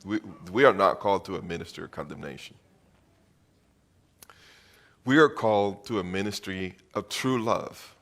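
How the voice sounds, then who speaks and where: A man's voice: sits low, fairly quick, fairly bright tone. A middle-aged man from Venezuela preaching a sermon as pastor, earnest and emphatic, repeating phrases for weight.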